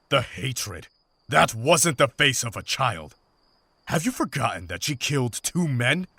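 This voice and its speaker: A man playing a stern, world-weary monster hunter who speaks in measured, formal phrases in a visual novel. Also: on the low side, fairly bright tone, no room sound.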